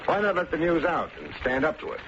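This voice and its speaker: A man acting a wry, unflappable private detective in an old-time radio drama, with a deadpan, sardonic delivery. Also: on the high side, quick pace, natural voice. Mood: wry, brisk. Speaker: lead actor and narrator of a detective serial. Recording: muffled, noisy vintage radio recording.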